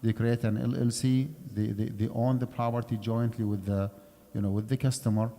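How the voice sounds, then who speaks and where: A man's voice: medium pitch, flat delivery, normal speed, vocal fry, dark tone. A man giving a conversational lecture on Islamic finance in a mosque, explaining step by step and checking in with his listeners. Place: mosque prayer hall. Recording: long hall reverb.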